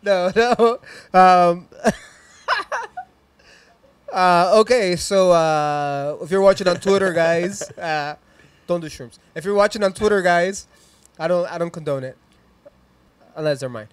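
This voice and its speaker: Upbeat Mexican-accented studio host. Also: male voice, high-pitched, a little slow, clear, resonant tone, dry recording. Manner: rambling, upbeat, plugs sponsors and socials. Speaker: host of a live music stream. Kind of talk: casual chat and shout-outs. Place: small decorated studio room, indoors. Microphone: handheld mic.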